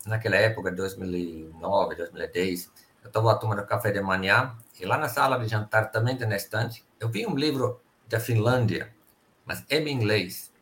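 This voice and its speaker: A man's voice: fairly low pitch, slight background noise. A middle-aged man from Finland with a Finnish accent, speaking Portuguese as a second language and telling stories as an interview guest. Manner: relaxed storytelling, careful non-native phrasing. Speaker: guest, company sales director. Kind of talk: casual interview chat.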